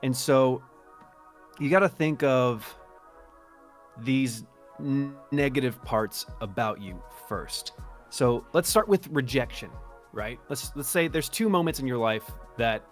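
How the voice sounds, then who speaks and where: A man at an ordinary mid pitch talks casually and haltingly, often correcting himself mid-sentence. Faint music runs softly in the background. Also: expressive. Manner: halting, restarts often, checks his notes. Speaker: artist host interviewing a guest. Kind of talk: casual video call chat.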